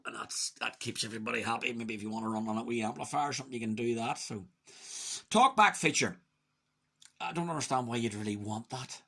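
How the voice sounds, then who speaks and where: A man giving a casual, chatty, opinionated hands-on radio hobby review, muttering to himself at times, in a husky voice. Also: medium pitch, fast talker, a bit bright. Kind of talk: casual hands-on product demonstration.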